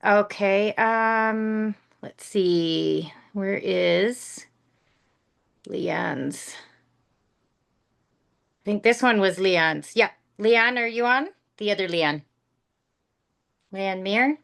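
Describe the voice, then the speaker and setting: A woman's voice: medium pitch, vocal fry, a bit bright, clear, resonant tone. Upbeat woman hosting a video call. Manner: upbeat, encouraging, quick asides.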